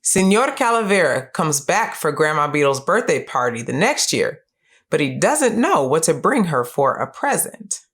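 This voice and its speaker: A middle-aged woman hosting a book series, giving scripted educational narration in a clear, measured, explanatory way. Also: low-pitched, very animated, a bit bright. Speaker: host of a children's book review series.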